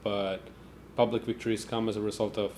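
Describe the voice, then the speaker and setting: A man hosting a podcast, chatting in a relaxed, curious and thoughtful way. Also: fairly low pitch, brisk, a little hiss or hum. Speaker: podcast host. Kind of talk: casual conversation.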